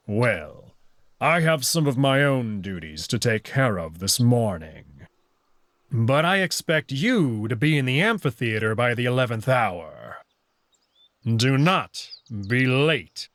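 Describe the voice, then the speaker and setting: A man's voice: medium pitch, sing-song, takes it slowly, fairly bright tone, dry recording, clean studio sound. A man voicing a stern, disapproving old male wolf guard from a visual novel, stoic and curt. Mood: curt, commanding.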